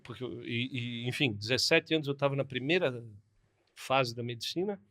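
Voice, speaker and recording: A man's voice: medium pitch, moderate pace, bright, forceful tone. A man in his fifties from Florianópolis with a southern Brazilian accent, a plastic surgeon telling his story in a laid-back, chatty way as a podcast guest. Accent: southern Brazilian Portuguese. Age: 50s. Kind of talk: casual interview storytelling.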